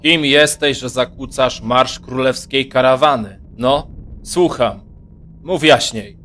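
A man's voice: medium pitch, slow, a bit bright, faint music behind. A man playing a haughty, shrewd margrave who commands a merchant caravan in a fantasy audio drama. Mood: haughty, demanding.

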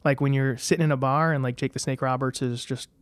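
A man's voice: mid-range voice, fairly even intonation, fast talker, clean tone, dry recording. Thirty-something man telling rambling stories. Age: 30s. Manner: rambling, many fillers and restarts. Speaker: guest. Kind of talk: casual interview storytelling.

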